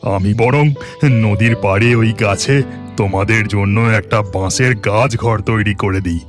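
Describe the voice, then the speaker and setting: A man's voice: fairly low pitch, fairly even intonation, measured pace, over music, natural voice. Man acting a kindly poor village woodcutter. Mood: gentle, reassuring. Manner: gentle, reassuring, plain-spoken. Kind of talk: Bengali fairy-tale cartoon dialogue.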